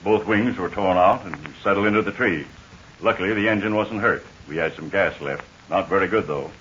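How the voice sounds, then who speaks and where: A worn-out stranded pilot speaks haltingly in a 1940 radio serial. His voice sits low and tired. The transcription is muffled with faint hiss. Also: fairly bright tone. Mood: weary. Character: weak stranded adult male pilot, major. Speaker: Major Steele. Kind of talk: 1940s radio acting.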